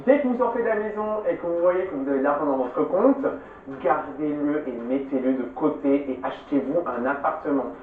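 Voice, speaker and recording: A man speaks firmly in short, instructive sentences to the camera. His higher voice rings in a strongly echoey space, far from the mic and squeezed thin like a phone line. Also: fairly quick, a little hiss or hum. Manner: direct, instructive. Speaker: reality TV contestant giving advice. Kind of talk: advice to camera.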